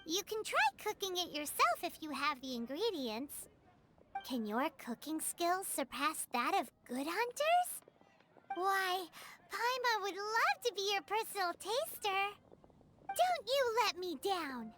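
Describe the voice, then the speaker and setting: An actress voicing a tiny, excitable, cheeky fairy companion in a video game, with a squeaky childlike voice. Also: female voice, a little slow, background noise, full character voice. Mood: chatty, eager. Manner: exclamatory, refers to self in third person. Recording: clean voice over loud game music.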